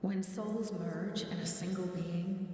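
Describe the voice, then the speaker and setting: A serene ruler of souls. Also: female voice, fairly low pitch, slow. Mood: calm. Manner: calm, explanatory. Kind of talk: game cutscene voice acting. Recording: echoey hall reverb with game music.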